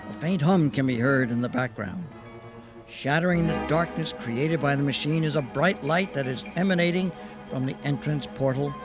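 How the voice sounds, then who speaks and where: A man delivering scripted narration for a Golden Age style radio drama. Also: medium pitch.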